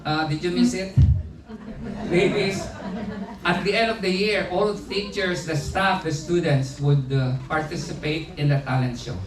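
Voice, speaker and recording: Older Filipino-accented man in his 70s, speech at a family party, slightly high voice, heard from afar in an echoey room with background noise. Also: far from the mic. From Philippines. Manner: unhurried, many "uh" pauses and restarts. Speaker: birthday celebrant giving a speech.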